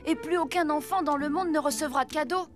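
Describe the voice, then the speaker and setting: A woman's voice: high-pitched, quick pace, over music, natural voice. A woman voicing an earnest, curious young boy, polite and innocent, in a French cartoon. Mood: curious, wondering.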